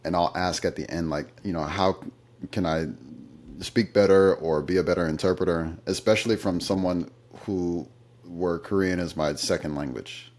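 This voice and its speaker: A man's voice: sits low, fairly even intonation, moderate pace, a little mellow. A man being interviewed about his work as an interpreter, telling anecdotes in a thoughtful, conversational way with some fillers. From United States. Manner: thoughtful storytelling, occasional fillers.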